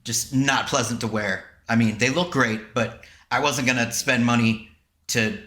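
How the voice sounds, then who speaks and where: A man's voice: mid-range voice, steady tone, measured pace, a bit bright. An American man co-hosting a podcast in casual, joking, rambling chat. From southern United States. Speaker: podcast co-host. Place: indoors on a video call. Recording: roomy, echoey sound.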